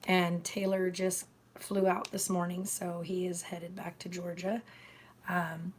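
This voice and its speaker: A woman hosting her own live stream, chatting casually with viewers, her voice hoarse from a recent illness, halting with many fillers and throat-clearing. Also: lowish voice, darkish tone, slight background noise. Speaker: channel host streaming live.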